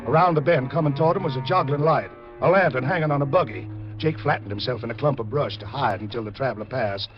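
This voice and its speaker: A man's voice: mid-range voice, fast talker, over music, close to an everyday voice. A man with an American accent giving intense, theatrical scripted narration in a 1940s radio drama style. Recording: dull old 1940s radio recording.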